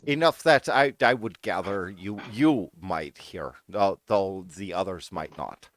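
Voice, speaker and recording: A man's voice: low-pitched, measured pace, fairly bright tone, pitched below the performer's own voice. A man voicing a male adventurer in a wobbly put-on Russian accent, speaking stiffly and formally. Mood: hammy.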